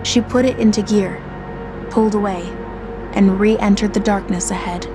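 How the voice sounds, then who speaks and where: A woman's voice: medium pitch, fairly even intonation. A woman narrating a scripted horror story in a dramatic, suspenseful manner. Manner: slow, dramatic, suspenseful delivery. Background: music.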